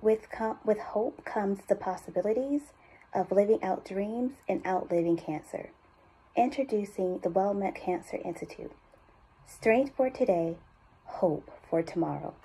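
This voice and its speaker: A woman reading as an earnest, hopeful narrator of a sentimental charity advert, deliberate, with a husky, breathy voice. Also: medium pitch, warm tone, low-quality recording. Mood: tender, hopeful. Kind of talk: scripted ad read, sentimental.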